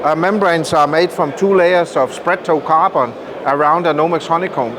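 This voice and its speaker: Male product maker, somewhat high pitch, emphatic technical explainer, loud show-floor noise behind. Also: normal speed. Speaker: loudspeaker maker, interview guest. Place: indoor audio trade show room.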